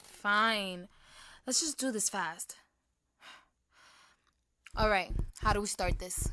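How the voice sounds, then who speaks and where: A young woman acting a blunt, slangy Puerto Rican high school girl in a naturalistic audio drama. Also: takes it slowly, pushed and bright. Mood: curious, casual.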